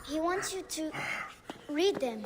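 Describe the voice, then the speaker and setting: A woman's voice: sits high, animated, takes it slowly, noisy background. A woman playing a devoted, earnest young Egyptian boy in a video game. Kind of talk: dramatic video game acting.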